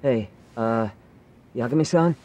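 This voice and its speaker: An actor playing a slightly nervous, easygoing younger sidekick in a game dub, casual and a little hesitant. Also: male voice, medium pitch, measured pace, a little mellow, lo-fi sound, a little hiss or hum, lightly acted. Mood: uneasy. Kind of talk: English video game dub acting.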